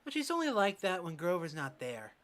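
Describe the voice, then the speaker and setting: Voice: mid-range voice, huge pitch swings, brisk, fairly bright tone. A performer voicing a chatty, gossiping middle-aged aunt in a fan dub. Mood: gossipy. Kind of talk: amateur fan dub of a medical drama.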